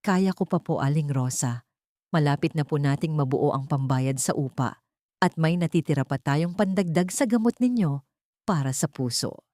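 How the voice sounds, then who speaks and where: A woman's voice: low-pitched, animated, normal speed, mellow, no room sound, clean studio sound. A woman voicing a brave, caring young market worker in an audiobook drama, earnest and steadfast.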